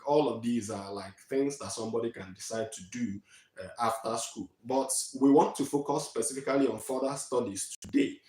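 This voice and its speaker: A man from Nigeria in his thirties giving a measured online talk from slides to students, with frequent fillers. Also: mid-range voice, a little mellow, reverberant, low-quality recording. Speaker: guest speaker. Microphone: video call.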